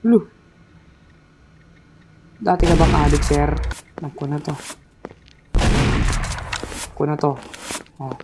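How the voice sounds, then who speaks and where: Filipino-accented gamer host, gravelly, playful and teasing, noisy background. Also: medium pitch, takes it slowly, long pauses. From Philippines. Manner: joking, teasing banter in mixed Tagalog and English. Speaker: gamer playing a horror game. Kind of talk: casual game commentary.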